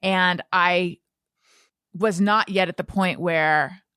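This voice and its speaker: A woman's voice: lowish voice, steady tone, slow pace, sharp tone, clean tone, dry recording, studio quality. A woman podcast host chatting casually in a rambling, self-deprecating way, with "like" sprinkled throughout. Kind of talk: casual chat.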